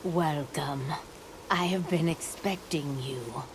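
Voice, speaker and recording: An actress playing a serene, knowing old sage in a game cutscene, with calm, cryptic, formal speech. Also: female voice, sits low, slow, noisy background. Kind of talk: dramatic video game cutscene acting.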